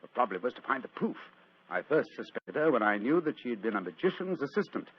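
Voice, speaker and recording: British gentleman sleuth, theatrical, precise, very lively intonation, muffled vintage radio recording. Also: mid-range voice, fast talker, breathy edge. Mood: triumphant explaining. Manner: urbane, precise, theatrical, self-assured. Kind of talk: 1940s radio acting. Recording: dull, noisy old radio recording.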